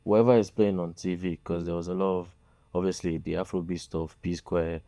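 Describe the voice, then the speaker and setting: A man's voice: low-pitched, little pitch movement, brisk, warm tone, no echo. A young man from Nigeria, a musician being interviewed, talking casually and hesitantly with many fillers. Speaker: guest musician.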